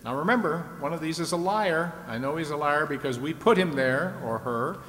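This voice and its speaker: A man's voice: expressive, moderate pace, fairly bright tone. Man playing echoey old-time radio quiz host. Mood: brisk, explaining. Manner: chatty, leading contestants along with questions. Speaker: game show host. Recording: echoey hall, faint rumbling noise.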